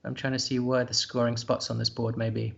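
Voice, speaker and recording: A man's voice: mid-range voice, completely flat delivery, fairly quick, gravelly, highs cut off. A man commentating a live board game, thinking aloud in a hesitant, musing way.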